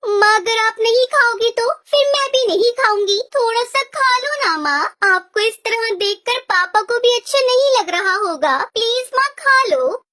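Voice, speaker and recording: A woman's voice: extremely high pitch, animated, a little slow, bright, forceful tone, full character voice. A woman playing a devoted, earnest young girl bird in a children's cartoon, with a pleading way of talking. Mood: gentle pleading. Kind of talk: Hindi kids' cartoon voice acting.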